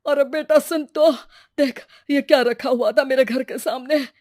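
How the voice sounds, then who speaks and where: A woman's voice: high-pitched, steady tone, unhurried, darkish tone, no room sound, clean studio sound. A woman voicing a poor, worried elderly village father in a cartoon, with plaintive, colloquial delivery. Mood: startled. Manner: plaintive, lamenting. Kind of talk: Hindi moral-story cartoon dialogue.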